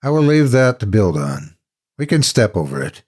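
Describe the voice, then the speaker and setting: A man's voice: fairly low pitch, sing-song, normal speed, darkish tone, husky. A man voicing a grim, cryptic castle factor in a horror story, terse, cautious and ominous. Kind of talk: horror audiobook character acting.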